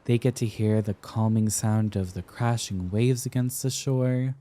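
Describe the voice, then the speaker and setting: A young man playing an affectionate, teasing sweetheart smitten with an old friend, speaking tenderly and haltingly in an intimate roleplay ASMR style. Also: fairly low pitch, fairly even intonation, mellow, natural voice. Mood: wistful. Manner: tender, playful, halting with pauses and repeats.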